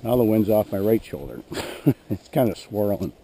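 A man with a hoarse voice, narrating plainly as he trains a dog in an open field, recorded on a head-mounted action camera. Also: lowish voice, expressive, normal speed, warm tone, poor audio. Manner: plain, matter-of-fact, clears throat often. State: hoarse, losing his voice. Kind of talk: narrating a hunt while commanding a dog. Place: open grassy field outdoors.

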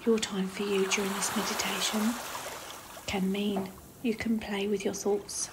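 Soft half-whispering woman guiding meditation, noisy recording. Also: mid-range voice, flat delivery, a little slow. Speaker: meditation guide. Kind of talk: guided meditation, visualisation. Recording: clearly noisy, trickling water ambience.

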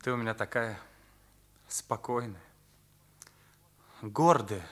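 An actor playing an earnest, indignant teenage son with an emphatic manner in a Soviet radio play. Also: male voice, lowish voice, slow pace. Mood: tender, probing. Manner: accusing, emphatic, morally rigid.

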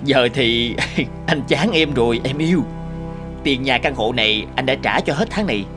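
An actor playing a smug, callous young playboy boyfriend in an animated drama, with a slick, mocking manner. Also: male voice, medium pitch, normal speed, over music, lightly acted. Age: young adult.

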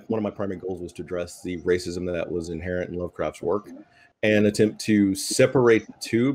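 A man's voice: fairly low pitch, darkish tone. A man speaking thoughtfully as a panelist in a discussion over a video call. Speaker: panelist, game designer. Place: indoor room at home.

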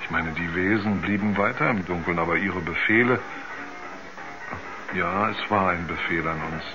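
Actor as haunted astronaut, low halting voice. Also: male voice, over music, close to an everyday voice. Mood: uneasy, insistent. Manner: halting, groping for words, repeats himself. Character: troubled male astronaut, haunted by terrifying dreams. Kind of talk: 1970s German radio drama acting. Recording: dull 1970s radio play recording.

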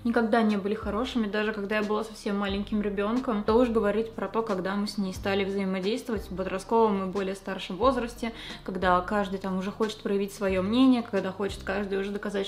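Fast-talking young woman, slangy and candid. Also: mid-range voice, darkish tone. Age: young adult. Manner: talks while doing her makeup. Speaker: vlogger. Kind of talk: casual confessional chat to camera. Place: small room indoors.

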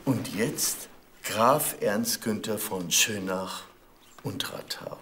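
An actor playing a smug, self-assured dealer in noble titles in a German crime radio drama. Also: male voice, lowish voice, slow, bright, forceful tone. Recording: faint noise with clicks.

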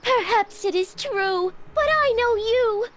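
A woman's voice: extremely high pitch, animated, slow, background noise, exaggerated voice. A woman playing a melodramatic showgirl as a scorned lover in a video game, theatrical and declamatory. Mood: wounded, accusing. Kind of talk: animated video game acting.

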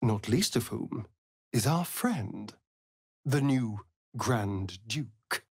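An actor playing a vain, sardonic, centuries-old vampire spawn in a video game, with an arch, drawling, flamboyant delivery. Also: male voice, mid-range voice, lively intonation, very slow, husky, no echo, studio quality, full character voice. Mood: wry, brisk. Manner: arch, drawling, swinging from purrs to shrieks. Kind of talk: theatrical video game character acting.

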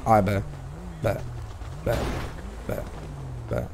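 A man's voice: on the low side, big pitch swings, slow, gravelly. A man streaming street-crime game roleplay, talking in confrontational slang and insistently repeating himself over some noise.

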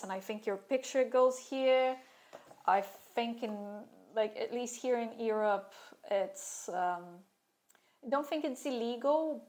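Slow-talking wry woman vlogger. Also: mid-range voice. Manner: candid and wry, with sarcastic asides. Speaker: vlogger sharing her fashion school experience. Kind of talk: casual talking-head vlog. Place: small plain room indoors, seated at a desk.

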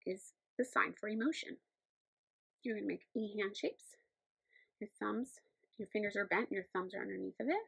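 A middle-aged woman, a teacher giving sign language instruction in measured, step-by-step explanations. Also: medium pitch, vocal fry, fairly bright tone, husky, dry recording. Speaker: teacher of the deaf. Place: small indoor room against a plain backdrop.

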